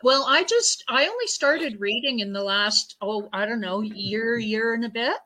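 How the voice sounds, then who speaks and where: Woman, from British Columbia, podcast guest, chatty, laughs easily, bright tone. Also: fairly high pitch, expressive, normal speed, poor audio. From British Columbia, Canada. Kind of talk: casual chat.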